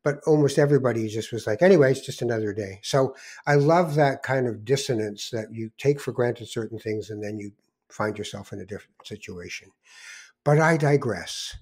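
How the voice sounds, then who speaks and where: An older Canadian man hosts a podcast with a husky edge to his voice. He talks casually and reflectively, wandering through his thoughts as if in conversation. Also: medium pitch, a little fast, darkish tone. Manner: rambling, reflective storytelling with digressions. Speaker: podcast host.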